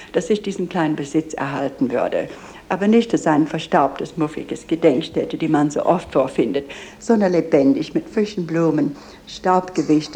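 Mellow, even-toned woman guiding visitors. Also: sits low. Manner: earnest, with slightly faulty grammar. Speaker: museum guide and owner of a memorial house. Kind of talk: guided tour talk.

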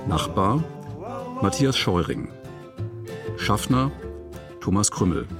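A man announcing the cast and credits of a radio drama in a measured, formal, scripted manner. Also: fairly low pitch, sing-song. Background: acoustic guitar music.

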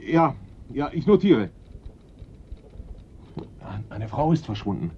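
An actor playing a chatty, wry police assistant with a theatrical delivery in a German radio play. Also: male voice, medium pitch, very animated, slow. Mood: urgent, theatrical. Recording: dull, noisy old radio recording.

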